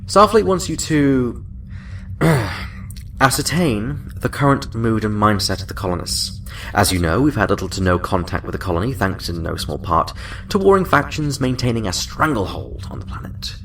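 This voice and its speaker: Man acting as senior space fleet officer, lowish voice, measured, wry, formal, distant mic, audio drama. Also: expressive, a bit bright, a little hiss or hum. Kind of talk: science-fiction audio drama.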